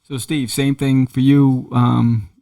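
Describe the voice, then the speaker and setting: A man hosting a podcast interview, asking relaxed, upbeat questions. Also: medium pitch, slow, creaky. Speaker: podcast host.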